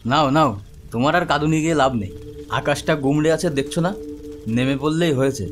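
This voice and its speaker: A man voicing a hurried, nagging, jumpy cremation helper in a horror cartoon. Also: on the high side, close to an everyday voice. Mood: impatient. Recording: rain and faint music behind.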